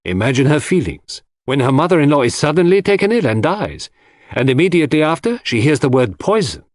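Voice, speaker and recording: A man voicing a shrewd, theatrical detective in an audiobook, speaking deliberately and dramatically with rhetorical questions as he lectures suspects. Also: fairly high pitch, sing-song, no room sound, clean studio sound. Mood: explaining calmly. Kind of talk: audiobook character dialogue.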